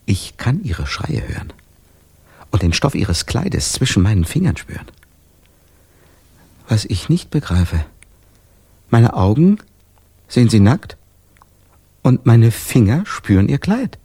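An actor in a radio drama playing a tormented man with no memory, confessing in a halting, brooding, intense way. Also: mid-range voice, very animated, vocal fry, dark tone, slightly rough, heavily acted. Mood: haunted, agitated. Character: tormented amnesiac man haunted by gruesome visions.

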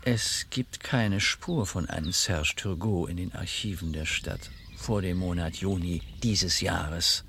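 An actor playing a discreet, resigned French police commissioner in a German radio crime drama, speaking formally, with measured courtesy and a husky voice. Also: male voice, sits low, a bit bright, a little hiss or hum, heavily acted. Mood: businesslike. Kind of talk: German radio play acting.

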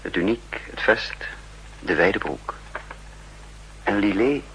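A man's voice: lowish voice, animated, slow, vocal fry, fairly bright tone, heavily acted, poor audio. An actor playing a suave, wily male spy in a 1960s Dutch radio drama, nonchalant and theatrical. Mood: narrating, watchful. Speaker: actor and narrator. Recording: 1960s radio recording with faint hum.

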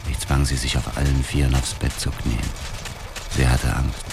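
A man's voice: very deep voice, flat delivery, normal speed, gravelly. An actor playing an embittered avenger who narrates his revenge in a radio drama with detached contempt. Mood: detached, grim. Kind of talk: scripted first-person radio drama narration.

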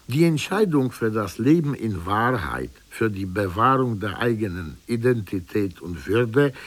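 A man reading a formal scripted lecture in a measured, earnest manner with emphatic phrasing. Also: mid-range voice, dry recording.

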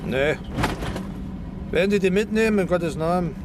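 A grumpy male village cop with a high-pitched voice, blunt and sarcastic as he questions people. It is radio drama, recorded with clear outdoor noise behind. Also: lively intonation, a little slow. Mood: accusing. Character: gruff, suspicious, sarcastic German village policeman. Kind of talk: German radio drama acting.

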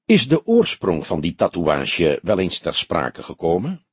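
A male narrator acts out an old police detective, courteous and gently mocking. The husky voice moves in lively swings with solemn, measured phrasing, in a dry audiobook recording. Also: low-pitched, dry recording, voice pushed down, full character voice. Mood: solemn, probing. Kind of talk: audiobook character dialogue.

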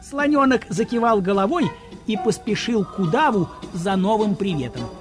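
A male narrator with a high voice tells a children's story expressively. His intonation rises and falls widely, and music plays clearly behind him. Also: lively intonation, moderate pace.

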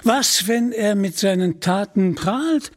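A man's voice: high voice, expressive, slow pace, music in the background, voice pushed up. A man voicing an agitated, wary royal prince in a storybook, with a vehement, anxious delivery. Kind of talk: storybook character acting.

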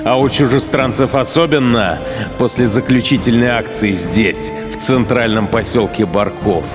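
An actor playing a cold, fanatical, contemptuous Nazi SS commander in the interior monologue of a radio play. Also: male voice, mid-range voice, normal speed, lightly acted. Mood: grim, brooding. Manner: self-assured, declamatory, scornful. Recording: studio radio drama with music behind. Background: music.

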